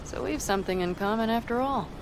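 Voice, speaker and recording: An actress playing a hot-tempered young nomad mercenary in a video game, blunt, sarcastic and quick to swear. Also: female voice, fairly high pitch, background noise, lightly acted. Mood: wry, guarded. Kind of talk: cinematic video game voice acting.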